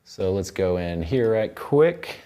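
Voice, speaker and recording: A man's voice: sits low, big pitch swings, takes it slowly, warm tone, clear, resonant tone. A man teaching music production in an informal tutorial, rambling loosely and thinking aloud as he works. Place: indoor recording studio.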